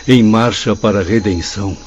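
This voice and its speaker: Radio drama part: humbled scholarly soul male actor, low, reverent and declamatory, slightly muffled recording. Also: moderate pace, a little mellow, a little hiss or hum, lightly acted. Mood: awed, humble. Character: humbled, awestruck condemned spirit, a scholarly professor. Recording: dull lo-fi audio.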